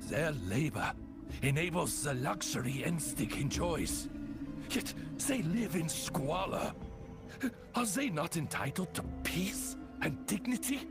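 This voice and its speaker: An actor playing a defiant, righteous rebel leader in a video game, speaking with impassioned, formal oratory. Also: male voice, fairly high pitch, animated, takes it slowly, vocal fry, full character voice. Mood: earnest, impassioned. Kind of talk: dramatic game voice acting. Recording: game music behind the voice.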